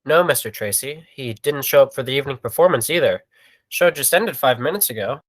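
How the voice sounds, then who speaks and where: A man's voice: mid-range voice, expressive, brisk, a bit bright. A man playing a courteous, reserved circus manager in a 1940s radio play. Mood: cooperative.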